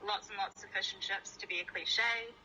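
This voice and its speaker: A woman's voice: medium pitch, fairly even intonation, moderate pace, bright, forceful tone, a little hiss or hum, low-quality recording. A woman with a New Zealand accent, a company co-founder, chatting in an interview over a video call in a friendly, self-deprecating way with many fillers. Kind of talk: casual interview.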